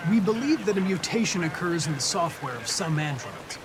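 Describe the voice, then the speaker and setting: Young android cop in a game, formal n precise, kinda high male voice, noisy background. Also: very animated, fairly quick. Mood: earnest, composed. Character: polite young male android detective, earnest and analytical. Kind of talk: video game acting.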